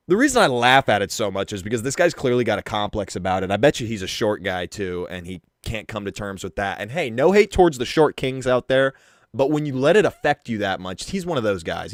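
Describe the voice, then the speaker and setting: A young man with a deep voice giving crude, mocking commentary and swearing freely. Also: no room sound, studio quality. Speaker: commentary streamer. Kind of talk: casual drama commentary.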